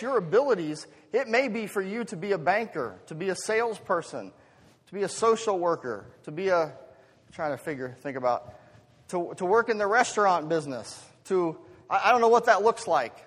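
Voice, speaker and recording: A man's voice: high voice, husky. An American man preaching a sermon as a pastor, moving between rhetorical questions, conversational asides and emphatic swells. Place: large reverberant church hall. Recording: long hall reverb.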